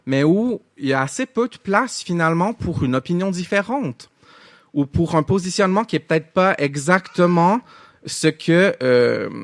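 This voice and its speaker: A young man from Canada with a Québécois French accent, preaching a sermon in an earnest, halting way with many restarts. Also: medium pitch, no room sound, studio quality.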